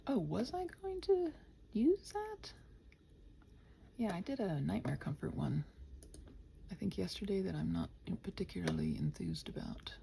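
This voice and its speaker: Scattered, muttering woman with a lively, creaky voice. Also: mid-range voice, a little slow, long pauses, a little hiss or hum. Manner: scattered, muttering to herself while working; playful, chatty, talks to her computer. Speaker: ASMR audio creator rambling to listeners. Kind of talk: casual unscripted body-doubling ramble.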